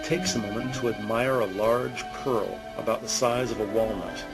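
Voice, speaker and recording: A man narrating an audio drama in a deliberate, suspenseful scripted style with emphatic pauses. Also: fairly low pitch, fairly even intonation, over loud music.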